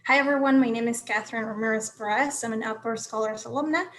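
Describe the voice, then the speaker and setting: A woman's voice: a little high, steady tone, fast, fairly bright tone, slight background noise. A young woman from Peru giving an earnest, friendly keynote speech over a video call. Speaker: keynote speaker.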